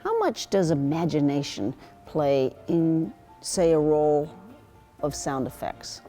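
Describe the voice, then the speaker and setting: A woman's voice: deep voice, big pitch swings, takes it slowly, warm tone, faint music behind. A woman hosting a feature interview, putting questions to her guests. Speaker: interviewer, host.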